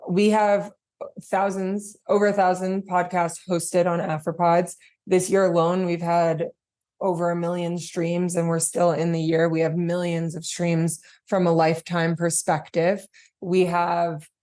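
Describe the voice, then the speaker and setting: A woman answers interview questions in a conversational way. Her voice sits a little lower than average for a woman. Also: darkish tone. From New York, United States. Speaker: guest, podcast platform chief executive.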